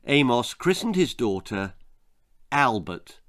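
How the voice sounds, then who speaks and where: A man reading a darkly comic children's story in a theatrical, deliberate way, as its author and storyteller. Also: mid-range voice, very animated, a bit bright.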